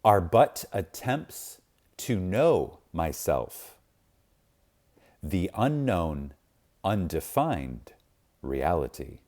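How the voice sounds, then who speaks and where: A man reading a spiritual text aloud in a reverent, dramatic way, solemn and deliberate, with long pauses and strong emphasis. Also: deep voice, sing-song, a little mellow. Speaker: audiobook reader.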